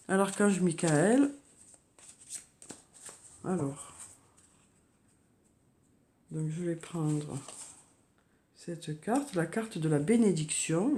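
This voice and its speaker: A woman with a cold giving a tarot reading, talking softly and hesitantly with many fillers and repeated words. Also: fairly low pitch. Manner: soft, hesitant, frequent "hein" and "euh", repeats words. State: has a cold, coughing. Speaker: tarot card reader. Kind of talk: monthly horoscope card reading.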